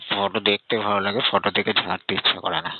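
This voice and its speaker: A man with a gravelly voice chatting casually and intimately, in a complaining, coaxing way, over a tinny phone line. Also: lowish voice, level tone, quick pace, background noise.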